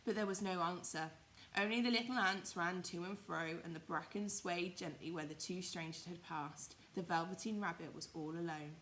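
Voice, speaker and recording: A woman with a bright, crisp-edged voice reads a children's story. Her delivery is expressive and dramatised, like a primary school storyteller, with some noise behind her. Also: fairly low pitch, normal speed.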